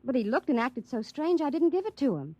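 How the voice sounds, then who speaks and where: An actress playing a sweet, flustered young secretary in a 1950s radio drama, breathless and earnest. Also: female voice, high-pitched, very animated, fairly quick, mellow, no room sound, dull audio. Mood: worried.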